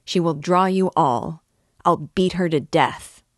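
A woman voicing a brutal, drunken young peasant man who shouts boastful, goading commands in an audiobook. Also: deep voice, takes it slowly, no echo, clean studio sound. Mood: furious. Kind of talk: audiobook character voice.

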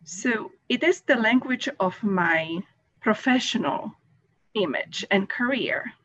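A woman's voice: medium pitch, expressive, slow pace, a bit bright, slight background noise. A middle-aged woman from Poland with a Polish accent, a Polish native speaker joining a video call and talking thoughtfully, with some hesitation. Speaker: caller. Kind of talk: casual chat. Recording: dull lo-fi call audio.

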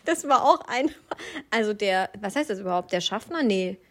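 A young woman co-hosting a casual chat, lively and quick to laugh, blunt with her opinions. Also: medium pitch. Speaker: podcast co-host.

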